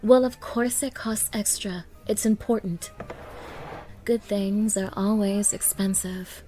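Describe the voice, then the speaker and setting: A woman's voice: mid-range voice, steady tone, slow, mellow, faint music behind, close to an everyday voice. A woman playing a haughty, demanding young gold digger on a date, scolding and condescending in an audio roleplay. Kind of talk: ASMR-style dramatic roleplay.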